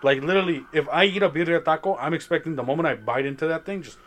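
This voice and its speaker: A man chatting casually with friends, animated and profane, with Spanish as his first language. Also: fairly high pitch, racing, slight background noise. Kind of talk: casual chat among friends.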